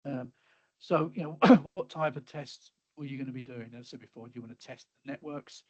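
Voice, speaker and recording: A middle-aged man giving a webinar talk over slides, halting and full of fillers and restarts, his voice a little hoarse as he keeps clearing his throat. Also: mid-range voice, a little mellow, dry recording. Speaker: webinar presenter. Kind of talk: casual presentation with slides. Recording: slightly dull lo-fi audio.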